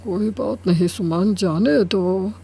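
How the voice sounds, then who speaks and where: A man's voice: high-pitched, takes it slowly, gravelly, dark tone, slightly rough, dry recording, heavily acted. A man playing a middle-aged, easygoing father-in-law in a Hindi cartoon story, soothing and reasoning in manner. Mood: calming, firm. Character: kindly, easygoing father-in-law who keeps the peace.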